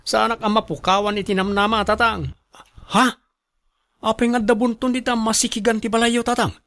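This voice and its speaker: A man playing a stubborn, excitable young son in a radio drama, with a whining, eager way of arguing. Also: sits high, a little fast. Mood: defensive whining. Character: stubborn, excitable grown son dreaming of riches. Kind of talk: Ilocano radio drama acting.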